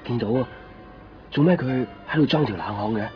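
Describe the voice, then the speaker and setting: A man's voice: medium pitch, brisk, faint music behind, natural voice. A man acting an earnest, uncertain young lovesick man in a 1990s Cantonese radio drama, speaking hesitantly with a Hong Kong accent. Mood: pleading, earnest. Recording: dull lo-fi radio recording.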